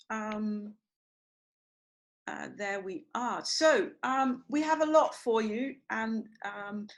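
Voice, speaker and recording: A woman hosting an online symposium over a video call, chatty and full of small fillers. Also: fairly high pitch. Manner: chatty, storytelling, many fillers. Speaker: symposium host.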